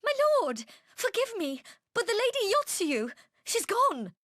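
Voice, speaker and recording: A woman's voice: extremely high pitch, big pitch swings, a little slow, a bit bright, no room sound, clean studio sound, exaggerated voice. An actress playing an anxious, deferential servant woman in a dramatic game scene, humble and apologetic. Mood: distressed, apologetic.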